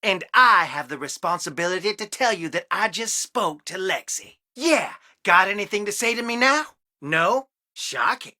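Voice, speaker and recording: A man's voice: high voice, expressive, normal speed, few pauses, pushed and bright, no room sound, studio quality, full character voice. An actor playing a conflicted, wounded young man in a video game, speaking in an earnest, emotionally strained way. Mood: bitter, angry. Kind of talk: dramatic video game dialogue.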